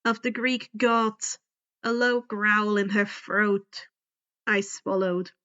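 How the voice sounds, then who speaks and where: A woman reading first-person fiction aloud in a deliberate, dramatic storytelling style. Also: medium pitch, steady tone, bright, forceful tone, highs cut off. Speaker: fan fiction audiobook reader. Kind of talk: expressive first-person scripted narration.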